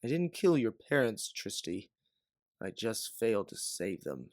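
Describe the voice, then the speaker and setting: A man playing an older, protective bounty hunter mentor in an audio drama, speaking in an earnest, measured way. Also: lowish voice, very animated, no echo, studio quality. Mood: regretful. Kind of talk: dramatic audio fiction acting.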